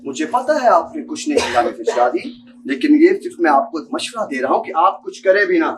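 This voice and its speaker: A man's voice: fairly high pitch, very animated, a little fast, crowd noise. A student actor, a young man with a Pakistani accent, playing an insistent, politely menacing bank clerk in an amateur stage drama. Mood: pressing, veiled threat. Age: young adult. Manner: formal, insistent, repeatedly addressing the listener politely. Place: seminar hall stage. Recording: distant mic in a hall.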